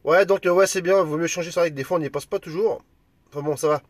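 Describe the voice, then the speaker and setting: A man from France with a high-pitched voice talks as an amateur radio operator. He moves between call signs and casual chat, friendly and a little hesitant. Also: quick pace, background noise.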